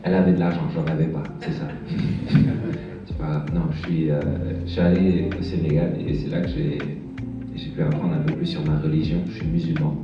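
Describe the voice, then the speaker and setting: Hesitant deep-voiced man doing stand-up. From France. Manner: hesitant, halting delivery with many fillers and restarts. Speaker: stand-up comedian. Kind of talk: stand-up comedy set. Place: bar stage with live audience. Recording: echoey, dull room sound from far off, music behind.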